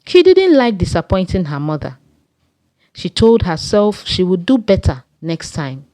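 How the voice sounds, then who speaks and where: Unhurried Nigerian woman storyteller, dry sound. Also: fairly low pitch, huge pitch swings, dark tone, no room sound. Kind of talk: scripted folktale narration.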